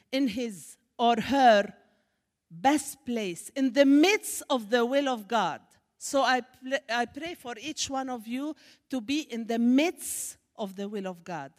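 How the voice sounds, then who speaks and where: High-voiced Syrian woman preaching slowly. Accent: Syrian Arabic. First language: Arabic. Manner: warm preacherly, rising to emphatic exclamations. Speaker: guest speaker. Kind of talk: church testimony address. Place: church sanctuary. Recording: echoey church hall.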